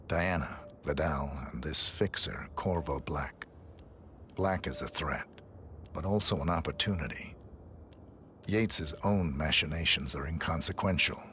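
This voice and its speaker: A man playing a cold, terse professional assassin in a video game, speaking in clipped, deadpan, measured phrases. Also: extremely low pitch, a little hiss or hum, exaggerated voice. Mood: cool, controlled. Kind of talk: video game cutscene acting.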